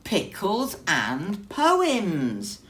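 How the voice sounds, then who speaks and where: A woman voicing a small, sweet, excitable male mouse in a children's storybook, full of emphatic wonder. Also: mid-range voice, extremely slow, pitched above the performer's own voice, full character voice. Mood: happy, fond. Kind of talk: children's storybook character reading.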